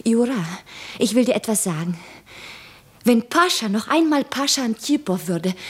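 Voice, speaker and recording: An actress in a German radio play, playing an anguished, devoted woman in love who is broken by war, speaking in a lyrical, impassioned way. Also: high-pitched. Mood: pleading, impassioned. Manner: impassioned, lyrical, dropping into breathy whispers.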